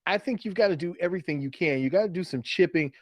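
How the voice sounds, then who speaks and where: A man with a slightly high-pitched voice talks casually as a sports podcast co-host. He explains his thinking step by step and leans on repetition to make a point. The sound is very dry, with no room to it. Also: quick pace, no echo. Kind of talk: casual fantasy football chat.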